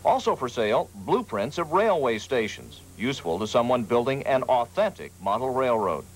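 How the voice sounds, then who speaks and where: A man's voice: mid-range voice, big pitch swings, moderate pace, rough recording. An American man working as a television reporter, reading scripted feature narration. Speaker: TV news reporter. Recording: faint background noise, 1980s TV broadcast.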